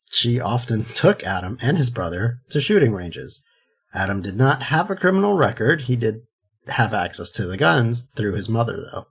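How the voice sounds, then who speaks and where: A man's voice: mid-range voice, breathy edge. A man in his thirties co-hosting a podcast, joking around and then going through background facts. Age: 30s. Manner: goofy, joking. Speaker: podcast co-host. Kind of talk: casual chat and reading history.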